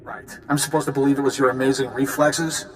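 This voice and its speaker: An actor playing a smug, mocking villainous smuggler in a video game, with a sarcastic, swaggering delivery. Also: male voice, mid-range voice, little pitch movement, brisk, natural voice, poor audio. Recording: music behind the voice.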